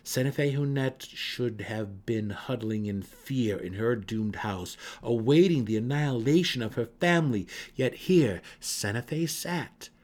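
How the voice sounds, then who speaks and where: A man's voice: medium pitch, darkish tone. A man narrating a fantasy audiobook dramatically, with theatrical emphasis.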